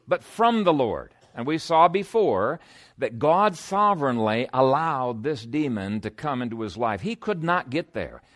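A man's voice: a little high, a bit bright. A man preaching an expository sermon as a pastor, earnest and emphatic, telling stories and posing rhetorical questions.

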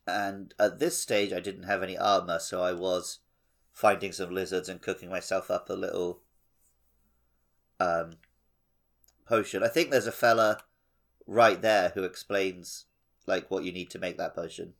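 A man's voice: low voice, fairly even intonation, measured pace, vocal fry, pauses often, a bit bright. A man co-hosting a podcast, chatting casually and jokily about video games. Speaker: podcast co-host.

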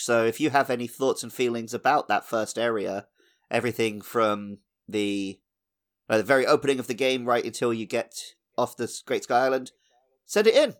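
Podcast co-host, male, thirties, middle pitch, casual and jokey, loose rambling delivery. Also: brisk. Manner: jokey, rambling, acts out imagined dialogue. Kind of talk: casual chat about video games.

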